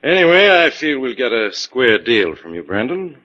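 A man's voice: mid-range voice, big pitch swings, moderate pace, bright, forceful tone. A man acting in 1940s radio style as a glib, dandyish promoter with an ingratiating, scheming manner. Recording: dull vintage 1940s radio recording.

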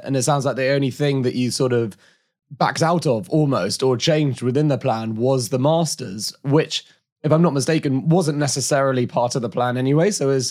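Slightly hoarse male interviewer. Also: mid-range voice, a little mellow. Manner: friendly, chatty questioning. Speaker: podcast host, interviewer. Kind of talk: casual interview chat.

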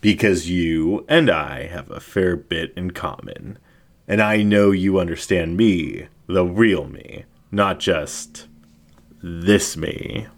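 A man's voice: sits low, slow pace, full character voice. A man voicing a downtrodden, earnest dingo drifter in a story, blunt, muttering and colloquial.

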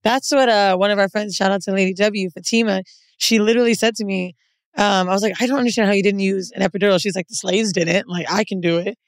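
A woman's voice: medium pitch, fairly even intonation, fast talker, no echo. Curious woman podcast host. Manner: curious, prompting questions. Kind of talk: casual podcast chat.

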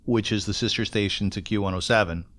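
A man interviews in a casual, conversational way. His voice sits on the low side, and the recording is dry, with very little room sound. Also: steady tone, fast talker, a bit bright, no echo. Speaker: podcast interviewer.

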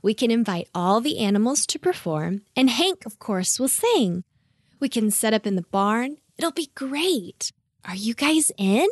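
An eager young storybook girl, acted out by a woman, pitched high and closely recorded. She is caring and cheerful, full of expressive enthusiasm. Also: takes it slowly, gravelly, no echo, clean studio sound, heavily acted. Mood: excited. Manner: bright-spirited, expressive, enthusiastic. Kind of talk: children's storybook character voice.

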